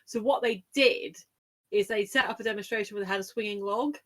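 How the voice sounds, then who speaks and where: A woman's voice: mid-range voice, fairly quick, a bit bright. A woman co-hosting a podcast, explaining things in casual conversation. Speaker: podcast co-host.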